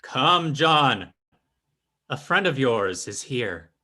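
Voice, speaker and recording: A man playing a commanding spiritualist medium in an old-time radio drama, theatrical and deliberate. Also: mid-range voice, very animated, bright, forceful tone, heavily acted. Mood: solemn, summoning. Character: fake spiritualist medium leading a seance, solemn and commanding.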